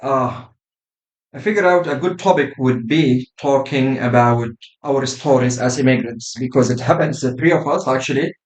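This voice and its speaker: A man from Sudan in his thirties, chatting as a podcast guest. His voice sits at an ordinary middle pitch. He talks steadily with many "uh"s and "right?" tags, and the room gives him a noticeable echo. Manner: measured, with frequent "uh" and "right?" tags. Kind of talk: casual podcast conversation.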